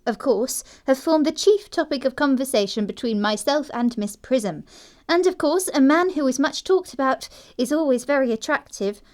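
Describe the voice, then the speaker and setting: A woman playing a prim, dreamy, self-assured young society girl with a precise, airy delivery in an audio production of a classic comedy. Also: high-pitched.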